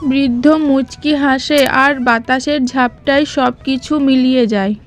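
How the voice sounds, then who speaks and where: Woman narrating a cartoon story, high voice, really slow, bit of music behind. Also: steady tone. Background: background music.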